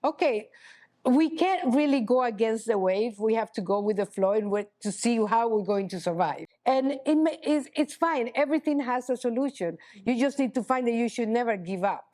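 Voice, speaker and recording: A middle-aged woman, a chocolatier guest speaking in a casual interview, halting with many restarts and "you know". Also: on the high side, animated, warm tone. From Honduras. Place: chocolate shop cafe.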